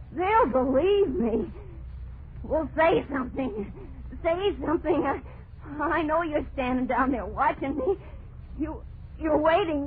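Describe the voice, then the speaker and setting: An actress playing a desperate, murderous woman hanging from a noose in melodramatic, gasping 1940s radio horror style. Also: high voice. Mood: desperate, defiant. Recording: tinny, noisy old radio broadcast.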